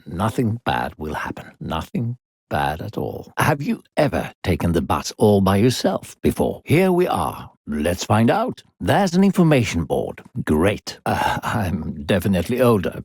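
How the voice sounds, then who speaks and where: Creepy smooth-talking invisible spirit guide for a kids adventure game, low n husky, takes his time, fake polite, really swoopy delivery. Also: a little mellow, no room sound, clean studio sound, heavily acted. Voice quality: gravelly, husky. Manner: coaxing, falsely polite, condescending, drawn-out phrasing. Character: unseen smooth-talking sinister narrator spirit guiding a child. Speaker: game narrator and guide character. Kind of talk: theatrical video game character acting.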